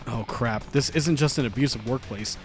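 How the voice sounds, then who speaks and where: Man doing a deep, gruff game hero. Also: normal speed, music in the background, natural voice. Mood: deadpan. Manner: low, dry, understated. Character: gruff, calm male protagonist, deep-voiced.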